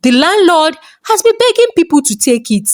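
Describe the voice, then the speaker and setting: A woman's voice: extremely high pitch, sing-song, normal speed, no room sound, clean studio sound, voice pushed up. A woman voicing an offhand, dismissive female shopkeeper in a folktale.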